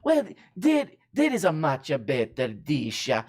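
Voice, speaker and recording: A performer voicing a self-styled Sicilian version of a celebrity chef, with a deliberately bad fake Italian accent and halting speech full of "uh" pauses. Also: mid-range voice, wildly swooping pitch, exaggerated voice. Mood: earnest. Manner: hesitant, halting with many uh pauses. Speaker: comedy guest character.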